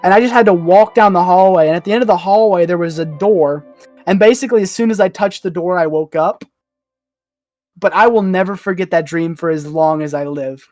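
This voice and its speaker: A man's voice: high voice, brisk, a little mellow, no room sound, faint music behind. A man talking casually and emphatically as a podcast panelist, telling stories in a colloquial way. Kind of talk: casual chat and storytelling.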